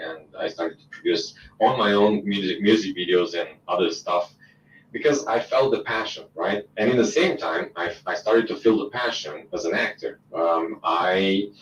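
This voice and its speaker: A middle-aged man from Romania with a Romanian accent, a talk show guest telling his life story in an animated, halting way with many restarts. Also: deep voice, echoey room, far from the mic, dull audio, slight background noise.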